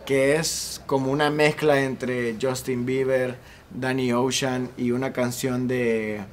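A young man in his twenties with a Salvadoran accent, a singer telling his story at length in a relaxed interview on an outdoor patio. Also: mid-range voice, slow pace, slight background noise. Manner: earnest, talks at length with ease. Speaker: singer and guest. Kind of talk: casual interview.

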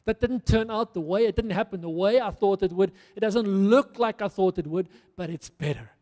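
Fervent high-voiced male preacher in a hall. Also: normal speed, dark tone. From South Africa. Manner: earnest, rousing preaching with emphatic repetition. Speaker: pastor. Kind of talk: sermon. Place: church stage in a large hall. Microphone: handheld microphone. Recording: long hall reverb.

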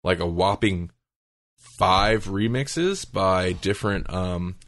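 A man's voice: low voice, slow pace, a bit bright, dry recording. A man hosting a podcast in casual chat, with a joking, rambling manner. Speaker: podcast host.

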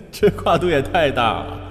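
A man's voice: on the high side, very animated, unhurried, fairly bright tone. Man playing a teasing young man with a light laugh. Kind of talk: audio drama acting. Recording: reverberant with music behind.

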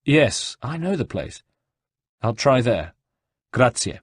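A courteous storybook adventurer , played by a man, earnest and reassuring yet insistent. His voice is husky and animated, with a close, dry sound. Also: mid-range voice, slow pace, dry recording, exaggerated voice. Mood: polite. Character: calm, earnest male Italian adventurer, courteous but insistent.

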